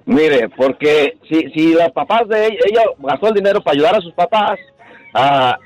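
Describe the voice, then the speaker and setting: A man's voice: a little high, expressive, moderate pace, no room sound, faint music behind, rough recording. A man calls in to a radio show and works through his reasoning aloud, often restarting his sentences. His voice sits somewhat above average. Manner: reasoning out loud, hesitant starts. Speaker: caller.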